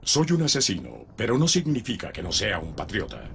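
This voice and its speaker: An actor playing a ruthless, smug official in a Latin American Spanish audio drama, menacing and condescending. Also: male voice, fairly low pitch, wildly swooping pitch, fairly quick, creaky, a little hiss or hum, exaggerated voice. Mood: cold, menacing. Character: ruthless, smug, murderous male official fleeing a doomed city. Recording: voice over loud background ambience.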